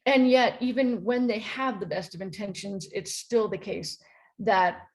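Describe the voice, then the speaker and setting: A woman from the United States with an American accent, giving a conference panel talk as a philosophy professor, friendly and halting, with many ums and restarts. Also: mid-range voice, steady tone, rough recording.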